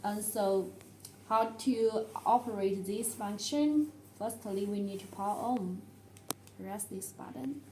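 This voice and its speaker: A woman who speaks English as a second language, presenting a product tutorial in a halting, step-by-step way. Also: medium pitch, mellow, a little hiss or hum, poor audio. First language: not English. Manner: halting, step-by-step delivery with non-native phrasing.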